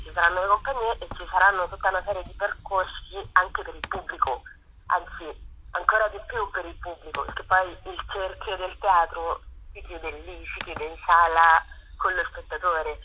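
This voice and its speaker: A woman's voice: fairly low pitch, bright, forceful tone. A woman speaking enthusiastically as a theatre company guest in a radio interview over the telephone. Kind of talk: radio interview, promoting a festival. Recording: noisy telephone line on radio.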